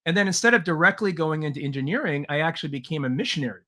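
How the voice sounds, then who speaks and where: A man's voice: fairly high pitch, expressive, rapid-fire, bright, forceful tone. A middle-aged man from Taiwan, a podcast guest and leadership trainer, telling his life story in an earnest way, with many "uh" fillers and light laughs. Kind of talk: relaxed interview storytelling.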